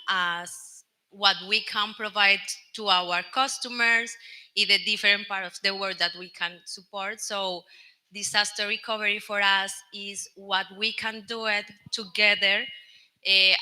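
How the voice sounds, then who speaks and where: A woman's voice: mid-range voice, bright, forceful tone, poor audio. A middle-aged woman from Colombia with a Colombian Spanish accent, speaking as a business panelist in careful non-native English with small hesitations. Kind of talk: panel discussion answer.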